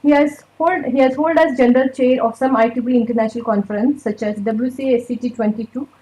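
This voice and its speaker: High, mellow-voiced Indian woman announcer. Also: fairly even intonation, fast, poor audio. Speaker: conference announcer introducing a speaker. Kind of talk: formal scripted introduction, read aloud.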